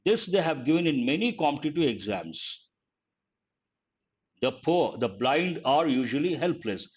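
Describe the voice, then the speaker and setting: An older man with a standard Indian English accent teaches grammar in a somewhat high voice, calling on students by name. He explains slowly and repeats each rule. The audio is dull and lo-fi. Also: very animated. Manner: addresses students by name, asks and answers his own questions; slow, deliberate, repeats key points. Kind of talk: online grammar lesson.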